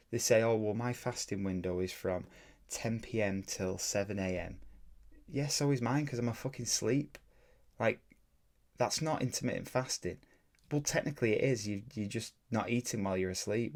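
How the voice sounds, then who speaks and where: A man, a fitness coach, talks casually on his own podcast in a plain, even voice, rambling and correcting himself as he goes, and sometimes slipping into a mild rant. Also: fairly low pitch. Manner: rambling, self-correcting, drops into mild ranting. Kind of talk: casual solo podcast talk.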